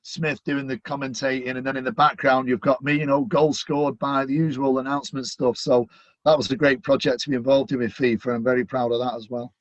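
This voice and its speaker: A man's voice: medium pitch, flat delivery, a little fast, no echo. Man, Manchester accent, middle-aged, chatty, lots of fillers, dry close sound, muffled lo-fi. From Manchester, England. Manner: chatty, upbeat, many "you know" fillers. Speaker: guest, stadium announcer. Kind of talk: casual interview chat. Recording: dull, dry lo-fi audio.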